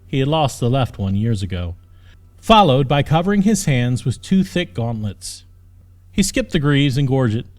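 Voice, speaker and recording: A man's voice: mid-range voice, darkish tone. A man reading a fantasy story as an audiobook narrator, with dramatic scripted storytelling. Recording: music under the voice. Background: background music.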